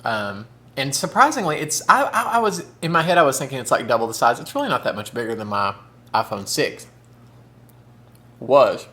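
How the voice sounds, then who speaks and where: A man's voice: medium pitch, sing-song, normal speed, bright, forceful tone. Chatty man eating on camera. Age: 30s. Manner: chatty, camp, says "y'all" and "honey"; talks with mouth full. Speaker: mukbang vlogger. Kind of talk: casual chat while eating.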